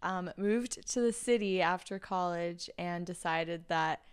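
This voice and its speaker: A woman's voice: on the low side, a little slow, smooth. A young woman from China, a guest on a casual chat podcast, who talks in a chatty way, giggling often and filling gaps with ums.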